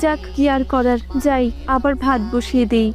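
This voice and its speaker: A woman's voice: sits high, steady tone, normal speed, faint music behind, natural voice. A woman voicing a dutiful, overworked eldest daughter-in-law in a family cartoon, fretting in a plaintive way. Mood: dismayed, regretful. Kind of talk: Bengali family cartoon voice acting.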